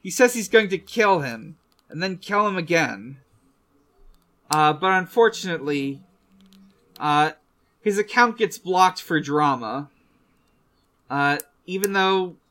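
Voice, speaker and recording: A podcast co-host casually recapping a story among friends, in a halting way with drawn-out pauses. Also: medium pitch, a bit bright.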